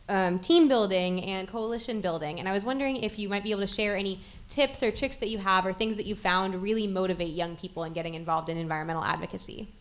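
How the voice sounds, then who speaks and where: A young woman moderating a panel, putting polite prepared questions to the speakers. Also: medium pitch. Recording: faint background noise.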